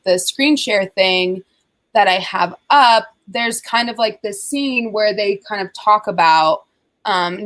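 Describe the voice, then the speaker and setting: Young woman host on a video call, chatty and rambling, middle pitch. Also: a bit bright. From United States. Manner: chatty, many "like" fillers. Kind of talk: casual conversation over video call.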